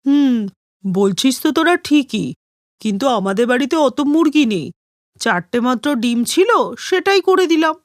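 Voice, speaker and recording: A bustling middle-aged mother acted by a woman from a cartoon family story. She speaks in a high, swooping voice, fond but briskly chiding. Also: sing-song, no echo, studio quality.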